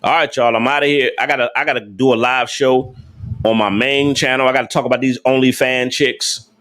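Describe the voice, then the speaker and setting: A man's voice: mid-range voice, steady tone, fairly quick, a bit bright. A man hosting a casual livestream, talking emphatically to his viewers with slangy speech and repeated rhetorical questions. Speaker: livestream host.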